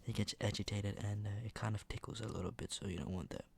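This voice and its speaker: Clear background noise and small clicks sit behind a man's hushed voice. He talks in a lowish, gravelly murmur that often thins into a whisper. His throat is sore, and he speaks casually in an ASMR style as he shows off items. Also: fairly even intonation, fast. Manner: hushed, slips between soft murmur and whisper. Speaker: ASMR video creator. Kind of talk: ASMR, soft casual product show-and-tell.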